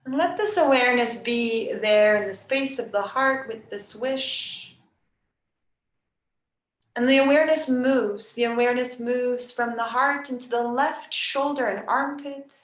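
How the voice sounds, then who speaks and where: A woman yoga nidra teacher leading a guided relaxation meditation in a soft, soothing, unhurried way with long pauses. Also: on the high side. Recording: roomy, echoing sound.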